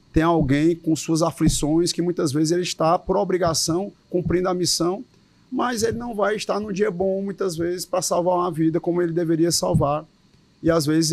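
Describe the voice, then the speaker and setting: Um homem com sotaque cearense, presidente de uma associação de policiais, dá uma entrevista com tom sério e enfático e repete palavras às vezes. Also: male voice, fairly high pitch, a little mellow, dry recording. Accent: Cearense Portuguese. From Ceará, Brazil. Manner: earnest, emphatic, with repeated words. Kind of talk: interview answers.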